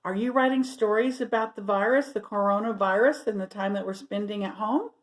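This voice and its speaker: A woman storyteller reading aloud to children expressively, pausing to put questions and asides to her listeners. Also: mid-range voice.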